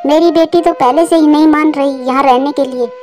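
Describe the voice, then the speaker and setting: A woman's voice: high voice, steady tone, brisk, mellow, faint music behind, close to an everyday voice. A woman voicing an anxious, devoted young widowed mother bird in a cartoon, with an earnest, pleading way of talking. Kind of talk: Hindi moral-story cartoon dialogue.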